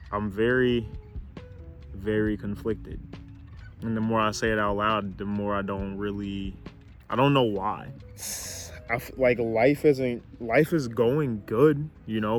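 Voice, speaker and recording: A man about to turn twenty-one, rambling and hesitant as he thinks aloud in a casual vlog, recorded outdoors on a camera mic. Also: lowish voice. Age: 20s. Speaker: vlogger. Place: outdoors near a car with a city view.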